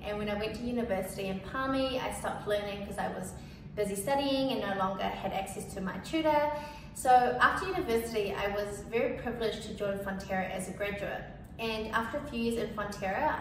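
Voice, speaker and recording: Chatty young Kiwi woman, echoey distant sound. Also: mid-range voice. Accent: New Zealand. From New Zealand. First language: Cantonese. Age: young adult. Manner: casual and chatty, with many "like" and "you know" fillers. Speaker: featured speaker. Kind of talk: personal testimonial. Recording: echoey, distant mic with background noise.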